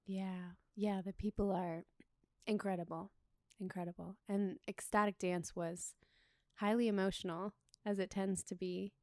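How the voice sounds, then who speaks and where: A young adult woman, a relationship coach, speaking as a guest in a calm, reflective, soft-spoken way. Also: medium pitch, a little slow, no room sound, studio quality. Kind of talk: interview conversation.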